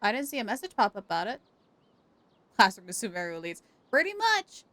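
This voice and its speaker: A woman chatting casually on a game livestream, reacting in an animated, exclamatory way. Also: on the high side, fairly quick, no room sound. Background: game music.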